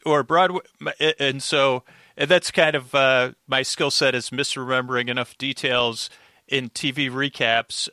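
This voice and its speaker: A man's voice: medium pitch, bright, forceful tone, no echo, studio quality. A man chatting casually as a podcast guest, rambling and self-deprecating. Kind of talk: casual chat.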